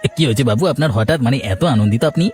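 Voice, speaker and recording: Man acting as household servant, deferential, hesitant, fast-spoken, cartoon dub, faint background music. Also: mid-range voice, a little mellow, natural voice. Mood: respectful, curious. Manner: hesitant, respectful. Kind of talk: dubbed cartoon dialogue.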